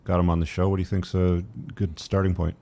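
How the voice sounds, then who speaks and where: Gravelly man hosting a live talk stream. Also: sits low, flat delivery, quick pace, warm tone. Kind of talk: casual interviewing.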